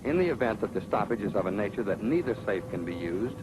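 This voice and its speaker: A man's voice: fairly low pitch, expressive, fast, background noise. A man narrating a 1940s training film in an authoritative, sardonic manner. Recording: old 1940s film soundtrack with music.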